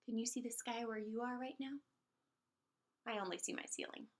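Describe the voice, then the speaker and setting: A woman's voice: a little high, moderate pace. A woman teacher reading a picture book aloud to young children, expressively drawing out words and prompting them with questions. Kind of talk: interactive children's storybook read-aloud.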